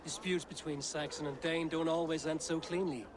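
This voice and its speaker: Bold male Viking warrior voiced by a man, blunt, challenging, slightly high pitch, game cutscene, faint outdoor noise. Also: steady tone, normal speed, close to an everyday voice. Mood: steady, assured. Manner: direct, challenging. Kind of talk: video game cutscene acting.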